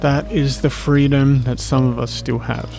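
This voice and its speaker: Male podcast host, medium pitch with noticeable vocal fry, monologue delivery over a music bed. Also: little pitch movement, moderate pace. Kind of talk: spoken-word monologue and casual podcast sign-off, over music. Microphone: close podcast microphone.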